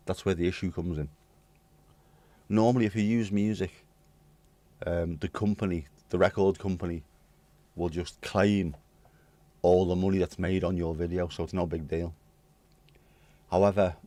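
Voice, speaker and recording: A hoarse man with a British accent, chatting casually with viewers on his livestream and swearing bluntly. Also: low voice, warm tone, no echo. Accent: British English. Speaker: livestream host. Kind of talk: casual chat reading viewer comments.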